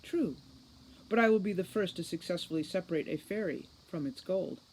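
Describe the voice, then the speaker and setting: A man acts out a clever young boy villain who is cold and smug. His phrasing is formal and condescending, his pitch on the high side, and there is slight noise in this audiobook reading. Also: a little fast, darkish tone, low-quality recording. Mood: sardonic amusement.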